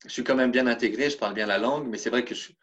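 A man's voice: mid-range voice, rapid-fire, a bit bright, highs cut off. A man from France speaking in a casual interview, hesitant and full of restarts. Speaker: interview guest.

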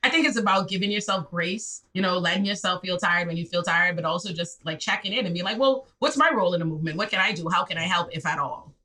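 A Bahamian woman in her twenties, a public defender telling stories in a casual podcast chat, her voice leaping high when she acts out scenes. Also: quick pace, hardly any pauses. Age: 20s. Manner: lively, swings into high excited re-enactments. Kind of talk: animated storytelling in casual chat.

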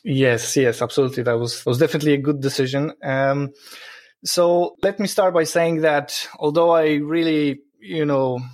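A man's voice: a little high, normal speed, darkish tone, no room sound. A man from Poland with a Polish accent, an entrepreneur answering interview questions in a rambling way with many fillers. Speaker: podcast guest, entrepreneur.

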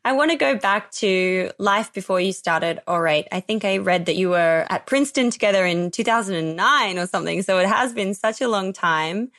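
A woman's voice: mid-range voice, normal speed, few pauses, a bit bright, no echo, studio quality. A woman hosting a podcast, asking interview questions and reacting with enthusiasm. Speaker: podcast host.

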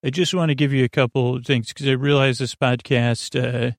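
Creaky, rambling sleep podcast host man. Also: medium pitch, steady tone, no room sound, studio quality. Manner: meandering, halting, full of restarts and tangents. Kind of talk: rambling late-night monologue.

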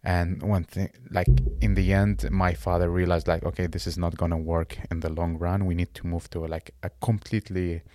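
Deep-voiced Iraqi-born man, halting and earnest. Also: flat delivery, darkish tone, no echo, clean studio sound. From Iraq. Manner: halting, searching for words with many restarts. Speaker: guest. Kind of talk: casual interview conversation.

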